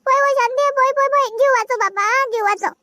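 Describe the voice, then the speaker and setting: A performer doing a shrill, panicked village wife. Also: female voice, level tone, normal speed. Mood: screaming. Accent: Varhadi Marathi. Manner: frantic wailing pleas to god. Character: terrified village wife lost in a haunted forest. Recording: dry close recording with faint music.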